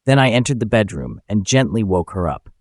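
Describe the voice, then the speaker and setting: A man's voice: on the low side, sing-song, brisk, a little mellow, dry recording, studio quality. A man reading a scripted first-person story as narrator, acting out the dialogue as he goes. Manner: acts out the dialogue.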